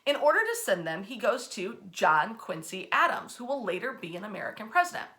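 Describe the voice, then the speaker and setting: A woman hosting as a storyteller, telling history in an animated, informal way with dramatic emphasis and wry, colloquial asides. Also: medium pitch, fairly quick. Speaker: host and storyteller of a history series.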